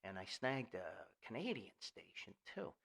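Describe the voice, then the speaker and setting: Animated, folksy man hosting a podcast. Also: low voice, measured pace, vocal fry, breathy edge. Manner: rambling, folksy, conversational asides. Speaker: podcast host. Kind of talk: casual solo podcast talk.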